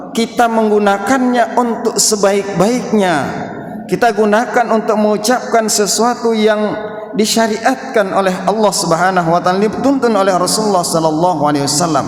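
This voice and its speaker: A man preaching a sermon, explaining point by point in deliberate phrases with Arabic recitation. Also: high-pitched. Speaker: Islamic preacher. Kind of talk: sermon, dawn mosque lecture. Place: mosque hall. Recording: echoey hall, long reverb.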